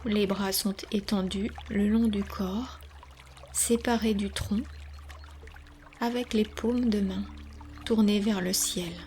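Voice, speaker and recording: A woman leading a guided relaxation as a yoga nidra guide, speaking calmly and soothingly with long pauses between instructions. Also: mid-range voice, a little hiss or hum.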